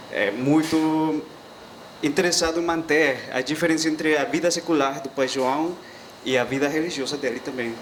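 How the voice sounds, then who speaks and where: Mexican-accented man, Spanish native, polite halting question, clear hiss behind. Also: fairly high pitch, rough recording. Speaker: audience member asking a question. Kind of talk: spontaneous question at a panel.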